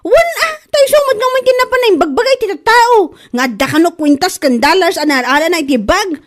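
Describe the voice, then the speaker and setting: Woman acting a high-voiced, scolding Ilocano woman. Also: lively intonation, brisk. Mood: indignant, scolding. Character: quarrelsome, gullible woman accusing a bag seller of lying. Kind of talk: Ilocano comedy radio-style drama acting.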